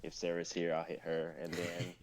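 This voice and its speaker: A man's voice: sits low, monotone, moderate pace, noisy background. A man in his twenties chatting casually with fellow writers on a stream. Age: 20s. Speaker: writer and reader in a workshop stream. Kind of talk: casual chat. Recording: dull lo-fi mic, keyboard typing.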